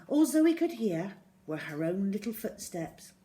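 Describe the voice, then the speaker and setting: A woman reading a children's story aloud in an expressive way, acting out the words as she goes. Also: mid-range voice, a little slow, slight background noise, rough recording. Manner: expressive, acts out dialogue and sound words.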